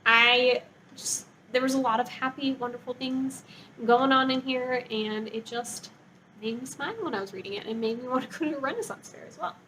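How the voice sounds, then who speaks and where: A woman with a fairly high voice talks casually, as a book vlogger would to her viewers. Her delivery is informal and gushing, sprinkled with "like". Some faint noise sits behind her voice. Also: moderate pace, bright, forceful tone, rough recording. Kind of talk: casual chatty book review to camera.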